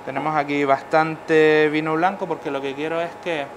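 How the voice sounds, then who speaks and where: Middle-aged chef explaining over kitchen noise. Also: male voice, a little high, flat delivery, moderate pace. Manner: explains steps, checks in with "vale". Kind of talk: cooking demonstration. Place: professional kitchen. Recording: noisy kitchen ambience.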